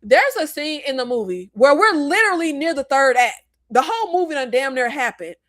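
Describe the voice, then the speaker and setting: An animated young woman with a Floridian Southern lilt chats through movie talk, with a fairly high voice. She is emphatic and often jumps way up in pitch when something annoys her. The sound is close and dry. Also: fairly quick, no echo. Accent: Floridian Southern slang. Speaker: live stream host discussing movies. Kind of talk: casual live chat reading viewer comments.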